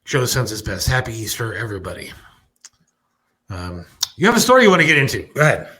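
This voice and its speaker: A man hosting a casual podcast, briskly reading items off a list and chatting with his co-host. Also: mid-range voice, wildly swooping pitch, bright, forceful tone, slightly rough.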